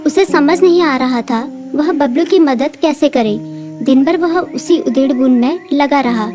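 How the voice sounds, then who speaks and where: A woman narrating a cartoon story in a calm, even storytelling voice. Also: sits high.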